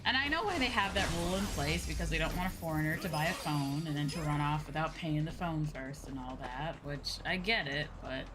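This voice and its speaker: A young woman chatting casually on a game livestream, rambling, expressive and informal, swearing freely. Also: sits low, brisk, few pauses. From outside Japan. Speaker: VTuber game streamer. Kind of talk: casual livestream chat over a video game. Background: game music.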